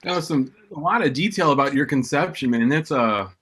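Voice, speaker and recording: A man's voice: on the high side, brisk, fairly bright tone, slightly rough. A man hosting a comedy showcase, whooping and hyping up the next act. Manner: hyped, whooping emcee. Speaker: show host. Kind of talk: emcee patter.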